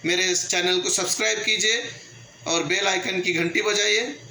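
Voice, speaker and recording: High, sharp-voiced man in echoey room. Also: fairly even intonation, normal speed, vocal fry. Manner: emphatic, repetitive, argumentative. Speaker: channel host and political commentator. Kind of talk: opinion commentary addressed to viewers. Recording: echoey room with background noise.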